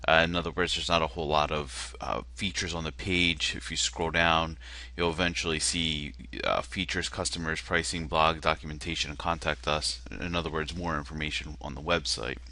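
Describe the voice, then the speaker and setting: A man's voice: very deep voice, level tone, normal speed, pushed and bright. A man with a nasal voice, casually walking through a web page on screen, self-deprecating and hesitant with many fillers. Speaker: marketer critiquing a landing page. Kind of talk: casual screen-share walkthrough.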